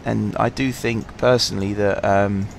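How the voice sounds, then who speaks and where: A man with a sore throat, slightly husky, casually commenting on a game through a headset, rambling and apologetic with many ums. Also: lowish voice, fairly even intonation, unhurried, slight background noise. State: sore throat, fighting coughs. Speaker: gaming channel host. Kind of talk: casual let's-play commentary.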